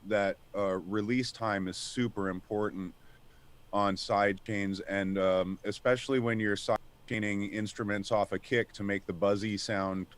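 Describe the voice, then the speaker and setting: Deep, smooth male guest, halting delivery. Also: steady tone. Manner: halting, restarts often, searches for words. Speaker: panel guest. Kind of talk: casual panel discussion.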